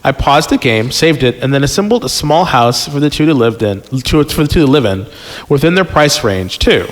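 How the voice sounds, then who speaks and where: A man's voice: medium pitch, lively intonation, moderate pace. A man hosting a podcast, reading a story aloud casually, stumbling over the text and breaking off to joke and comment. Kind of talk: casual read-aloud with joking banter. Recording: long reverb, music underneath. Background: music.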